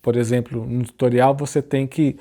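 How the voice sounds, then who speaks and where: A man in his forties with a Brazilian Portuguese accent, hosting a design tutorial channel in a chatty, informal way and often adding tag questions, speaking from a small dark room. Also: medium pitch, flat delivery, normal speed. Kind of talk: casual talk to camera.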